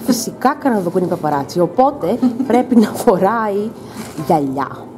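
Lively, ironic young woman vlogger. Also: mid-range voice, background noise. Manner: mock-serious, ironic. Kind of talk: joking travel vlog narration.

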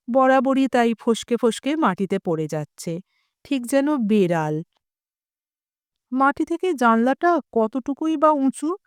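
A woman with a Bengali accent reading a story aloud in a slow, clear, expressive way. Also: fairly high pitch, dry recording, studio quality. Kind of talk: scripted story narration.

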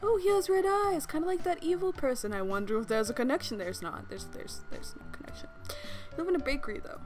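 A woman's voice: sits high, expressive, fairly quick. A young woman hosting a let's play, reading a visual novel aloud and joking between lines with a playful, giggly manner and casual swearing. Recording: voice with game music behind. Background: game music.

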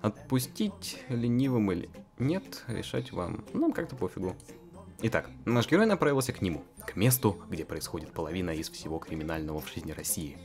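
A giggly, animated narrator whose pitch leaps wildly up and down while reading the comic story. Faint music runs underneath. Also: fairly quick. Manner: animated, giggly, swings into squeaky put-on voices. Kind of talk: comic amateur visual novel narration.

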